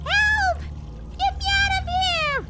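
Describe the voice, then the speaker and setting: A man voicing a frightened, spoiled young filly in a storybook reading, shrill and shrieking. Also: takes it slowly. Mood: panicked. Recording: distant mic with music behind.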